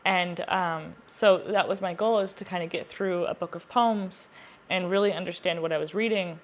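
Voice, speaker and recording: A woman's voice: fairly low pitch, normal speed. A woman hosting a casual, chatty book review to camera, speaking earnestly and repeating words for emphasis. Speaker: book reviewer, video host.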